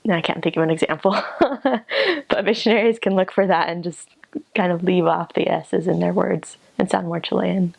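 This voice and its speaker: A woman's voice: fairly low pitch, normal speed, gravelly, dry recording. An American woman giving casual advice in a tentative, hedging way. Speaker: former missionary.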